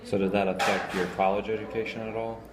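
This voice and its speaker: A man's voice: fairly low pitch, level tone, fast. A man interviewing a guest, asking plain questions with a few fillers. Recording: echoey room with crowd noise. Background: crowd chatter.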